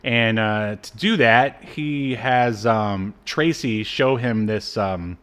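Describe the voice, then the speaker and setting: A man's voice: fairly low pitch, takes it slowly, a bit bright. A man co-hosting a podcast, chatting casually and recapping a show in a sardonic, jokey way. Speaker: podcast co-host. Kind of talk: casual comedic chat recapping a cartoon.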